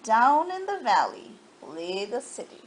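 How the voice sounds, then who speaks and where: High-pitched woman reading a children's story. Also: measured pace, a little hiss or hum, poor audio. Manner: expressive, dramatized. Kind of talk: children's story read-aloud.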